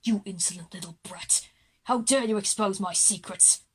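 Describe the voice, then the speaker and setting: Gloating devil acted by a woman, deep and husky, slow taunting delivery, grandiose villain, children's audio drama. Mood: furious. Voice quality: deep, breathy. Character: menacing gloating male devil plotting hell on earth.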